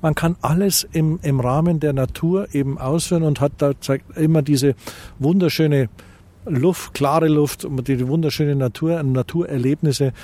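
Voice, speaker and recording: A man's voice: on the high side, fairly even intonation, normal speed, mellow. A middle-aged man from Bavaria with a Bavarian accent, answering interview questions as a tourism association president, hesitant with many fillers and restarts.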